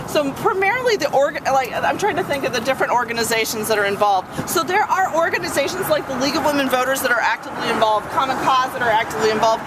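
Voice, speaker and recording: A woman answers reporters at an outdoor press conference, her voice set high. She restates each question and stumbles through fillers and restarts as she talks. Heavy traffic noise and a loud crowd press in around her. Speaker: advocacy group spokesperson.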